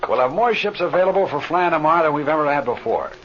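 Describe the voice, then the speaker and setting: A wry easygoing american veteran pilot, folksy talk, old time radio serial, dull slightly noisy audio. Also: male voice, fairly high pitch, animated, fast, a bit bright. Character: easygoing, seasoned male chief pilot, wryly modest. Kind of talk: 1930s radio serial acting.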